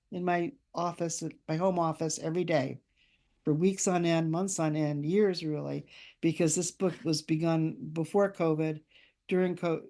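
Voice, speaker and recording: Low-voiced older woman, reflective and mellow. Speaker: author being interviewed. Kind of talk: reflective conversational interview.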